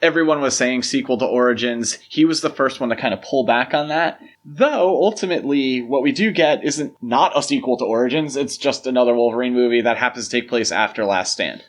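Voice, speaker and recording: A man's voice: mid-range voice, fairly quick, few pauses. A man co-hosting a podcast, discussing films in a conversational, explanatory way. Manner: conversational, explanatory, uses "like" and "you know" often. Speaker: podcast co-host. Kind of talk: casual film discussion podcast.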